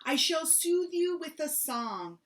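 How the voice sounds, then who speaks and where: A woman voicing a small, cheerful, bustling male mouse in a storybook, who sounds bright and eager to help. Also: high-pitched, very animated, takes it slowly, voice pushed up, exaggerated voice. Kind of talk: storybook read-aloud.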